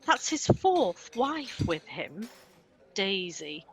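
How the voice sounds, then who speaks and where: A woman playing a sharp-tongued, bossy but protective chambermaid in a comic radio play. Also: medium pitch, sing-song, very slow, full character voice. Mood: wry, bossy.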